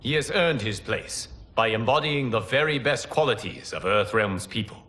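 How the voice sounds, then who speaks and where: An actor playing a calm, wise male mentor figure in a video game cutscene, measured and courteous. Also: medium pitch, natural voice. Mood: serene. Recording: music underneath.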